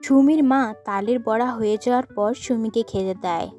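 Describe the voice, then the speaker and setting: Mellow woman cartoon narrator. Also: on the high side, a little fast. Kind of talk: scripted cartoon story narration.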